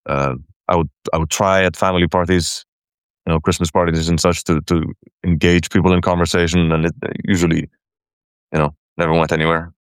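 A man from Iceland talks about his past as a guest in a conversation, stumbling and repeating words as he restarts his thoughts. His voice is very deep, and the recording is close and dry. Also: flat delivery, brisk, clear, resonant tone, dry recording. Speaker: caller, guest. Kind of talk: casual personal conversation.

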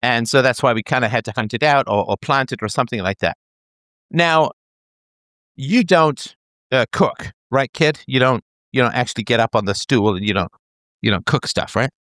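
A man's voice: medium pitch, normal speed, no room sound, studio quality. A man in his thirties in a casual chat, explaining an idea playfully as if talking to a child. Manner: animated, playful, talks as if to a child.

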